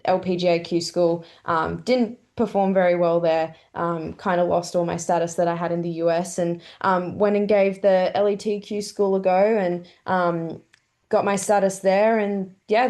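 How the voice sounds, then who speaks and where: A young Australian woman in her twenties, a golfer, answering interview questions in a relaxed, chatty way with lots of "um" fillers. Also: mid-range voice, moderate pace. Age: 20s. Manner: chatty, many "um" fillers, laughs often. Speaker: pro golfer guest.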